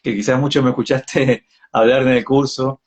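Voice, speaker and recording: A man's voice: mid-range voice, little pitch movement, moderate pace, breathy edge. A man in his forties with an Argentine accent, chatting reflectively as a guest in a casual interview and often checking for agreement. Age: 40s. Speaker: yoga practitioner being interviewed. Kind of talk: casual live interview chat.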